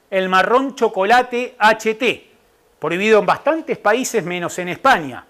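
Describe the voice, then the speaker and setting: Argentine man giving a higher-pitched health lecture. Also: a bit bright. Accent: Argentine Spanish. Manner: explanatory, checks in with the audience by asking "¿verdad?" and "¿eh?". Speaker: nutritionist giving a public talk. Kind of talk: informal lecture to a live audience.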